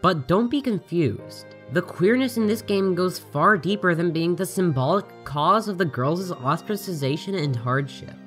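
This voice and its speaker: Scripted review narration, mid-pitched androgynous voice, lively intonation, articulate essay style, faint music behind. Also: normal speed, few pauses. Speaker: video game reviewer. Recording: voice over background music.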